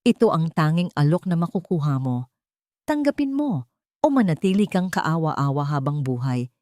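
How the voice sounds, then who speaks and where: A woman's voice: sits low, very animated, moderate pace, a little mellow, no room sound, studio quality. A woman storyteller voicing a hard, domineering rich old man in a drama story, cold and commanding.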